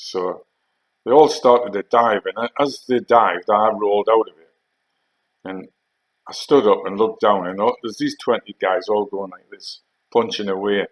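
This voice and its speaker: A man's voice: fairly low pitch, poor audio. An older man with a Geordie accent, an army veteran telling rambling war stories in a casual, hesitant way. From Northeast England. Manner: unhurried, rambling, hesitant with fillers. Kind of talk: casual anecdotal storytelling.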